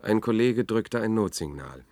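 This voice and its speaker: A haunted, obsessive male reporter in a science-fiction radio play. His voice is low, and he tells his story with brooding, measured intensity. It is recorded dry and close. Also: expressive, a little mellow, dry recording. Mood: tense, unravelling. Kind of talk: German radio drama, first-person narration.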